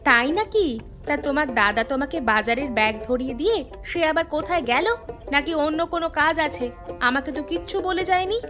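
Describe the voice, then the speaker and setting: Woman acting high-voiced, bossy village sister-in-law. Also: expressive, moderate pace. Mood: puzzled, miffed. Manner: scolding, insistent, familiar. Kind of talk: Bengali cartoon fairy-tale voice acting. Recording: music clearly under the voice.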